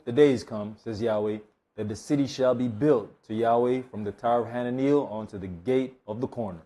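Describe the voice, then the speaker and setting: Dark-voiced man reading scripture. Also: mid-range voice. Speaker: scripture reader.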